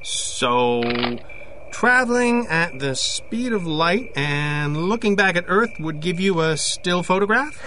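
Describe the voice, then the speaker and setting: An actor playing an awkward, earnest photographer in an audio drama, speaking in halting, hesitant phrases. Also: male voice, high voice, lively intonation, background noise. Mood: curious. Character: awkward, earnest freelance photographer confiding a strange story.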